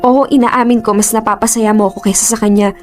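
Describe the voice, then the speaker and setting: A woman's voice: a little high, steady tone, fast talker, faint music behind, natural voice. A woman with a Filipino accent acting a torn, defensive young office worker in a radio drama. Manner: defensive, emotional.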